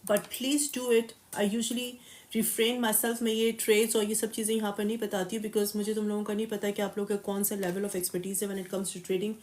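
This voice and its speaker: A woman hosting a casual live stream who reads viewers' questions aloud and answers them briskly and confidently, mixing English and Urdu. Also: mid-range voice, little pitch movement, a little mellow. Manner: confident, matter-of-fact market opinions. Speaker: crypto livestream host.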